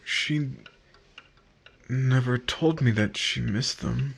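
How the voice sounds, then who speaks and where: A man playing a lovestruck, unhappily married man in a 1950s-style radio drama, earnest and halting, with a husky voice. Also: medium pitch, heavily acted. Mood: confused, guilty.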